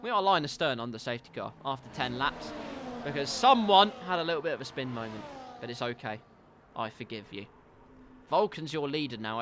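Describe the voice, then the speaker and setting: A man with a stuffy, congested nose commentating a racing league, with wry asides that turn loud and excited when cars crash. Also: mid-range voice, slight background noise.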